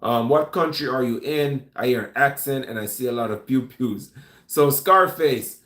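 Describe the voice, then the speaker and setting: A man from Jamaica with a Jamaican accent, hosting a casual live stream and answering chat in a relaxed way, with many restarts. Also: mid-range voice, normal speed, echoey room. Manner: relaxed, stumbling restarts, calls people man. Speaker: vlog host reading live chat. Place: room at home with gun rack.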